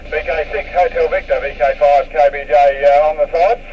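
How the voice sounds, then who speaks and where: A man's voice: on the high side, steady tone, normal speed. An Australian man chatting casually as an amateur radio operator, exchanging callsigns over FM radio. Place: mobile in a vehicle, heard over radio. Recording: muffled, noisy, distant FM radio transmission.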